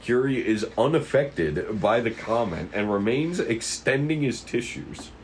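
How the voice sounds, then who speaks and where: A man's voice: mid-range voice, lively intonation, unhurried, a little hiss or hum, low-quality recording. A man reading stage directions aloud as narrator at an amateur table read.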